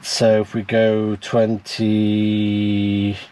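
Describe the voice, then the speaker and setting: A man's voice: on the low side, monotone, extremely slow, dark tone. A British man in a chatty, casual style, talking as an amateur radio operator and vlogger who spells things out in the phonetic alphabet. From United Kingdom. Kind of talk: casual vlog talk and radio contact exchanges. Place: portable radio outing.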